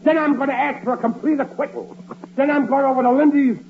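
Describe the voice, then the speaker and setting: An actor playing an overconfident, bumbling defense lawyer in a 1940s radio comedy. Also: male voice, very high voice, fairly even intonation, fast, phone-quality sound. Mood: cocky. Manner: overconfident, mangles legal phrases. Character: cocky, bumbling male defense lawyer in courtroom farce. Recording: thin, noisy vintage radio recording.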